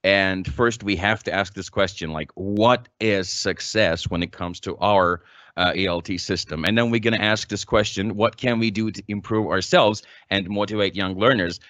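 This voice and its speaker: A man with a low voice gives a casual guest talk to teachers over a video call. He fills his phrasing with fillers and restarts. The sound is dry and dull, with no room in it. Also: moderate pace, fairly bright tone, no echo. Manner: casual, loose phrasing with fillers and repeated words. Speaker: guest speaker, teacher trainer. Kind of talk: informal conference talk.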